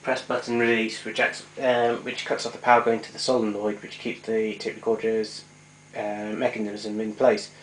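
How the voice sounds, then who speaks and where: A man's voice: on the low side, level tone, normal speed. A man giving an informal, hands-on equipment demonstration as a hobbyist, explaining hesitantly and often correcting himself. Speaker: vintage tape recorder hobbyist demonstrating his machine. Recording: clearly noisy recording with clicks.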